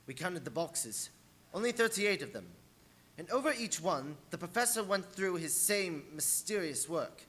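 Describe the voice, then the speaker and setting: An actor playing an earnest, tense young husband in a radio play, reporting in clipped dramatic diary style. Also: male voice, high-pitched, big pitch swings, moderate pace, fairly bright tone, exaggerated voice. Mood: weary, tense. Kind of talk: live radio play acting.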